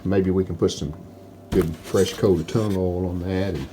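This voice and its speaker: A man giving casual, plainspoken, unhurried narration of hands-on workshop work, like a DIY video host. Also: deep voice, fairly even intonation, noisy background. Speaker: host of a restoration channel.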